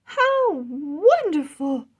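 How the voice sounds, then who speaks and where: Storybook queen, voiced by a woman: regal, gushing, high-pitched, very animated. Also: extremely slow, lo-fi sound, full character voice. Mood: delighted. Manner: exclaiming, gracious. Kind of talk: children's storybook read-aloud.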